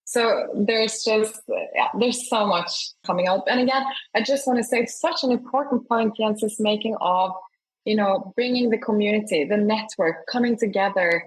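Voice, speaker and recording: A woman with a mid-pitched, breathy-edged voice answers as an interview guest. She talks in a conversational, enthusiastic manner. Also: moderate pace, low-quality recording. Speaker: award founder, interview guest.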